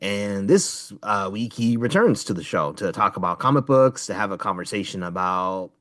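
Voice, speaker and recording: A middle-aged American man with a fairly low voice hosts a podcast. He talks casually and conversationally, with very little room sound around him. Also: animated, moderate pace, a bit bright, no room sound, studio quality. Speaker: podcast host.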